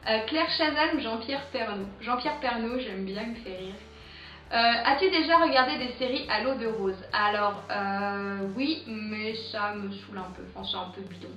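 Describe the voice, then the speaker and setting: A woman's voice: on the high side, normal speed, fairly bright tone. Hesitant young French woman, roomy and distant. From France. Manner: chatty, many hesitant "euh" fillers. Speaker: vlogger. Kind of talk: casual Q&A tag video. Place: home in England. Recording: roomy, distant, dull sound with music.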